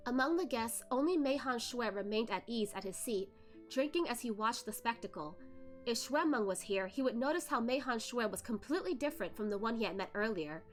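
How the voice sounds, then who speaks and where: A woman's voice: fairly high pitch, expressive, a little fast, soft background music. A woman reading a novel aloud as a streamer host, narrating expressively with casual asides. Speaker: host of a novel read-along, virtual streamer.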